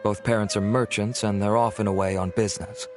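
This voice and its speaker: A man's voice: on the low side, level tone, brisk, a little mellow, close to an everyday voice. A man playing a polite, formal investigator. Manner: formal, reporting plainly. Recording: game audio with faint music.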